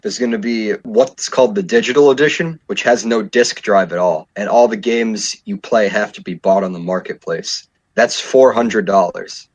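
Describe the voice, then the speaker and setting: A man's voice: fairly low pitch, normal speed, hardly any pauses, slightly rough. A man in his twenties co-hosting a podcast, chatting casually with lots of fillers and restarts. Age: 20s. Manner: rambling, many fillers and restarts. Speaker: podcast co-host.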